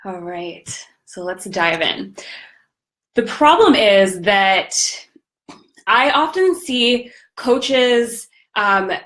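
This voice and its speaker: A woman with a slightly raspy voice from a recent cold, hosting a live coaching stream in an upbeat, chatty way. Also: mid-range voice, lively intonation, drawn-out words, fairly bright tone. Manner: upbeat, chatty, greets viewers by name. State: recovering from a cold. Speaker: podcast host and business coach.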